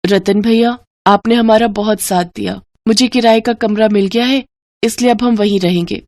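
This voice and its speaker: A woman's voice: medium pitch, steady tone, moderate pace, darkish tone, dry recording, clean studio sound, close to an everyday voice. Humble, pleading poor village wife played by a woman. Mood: grateful. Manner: polite, pleading, deferential.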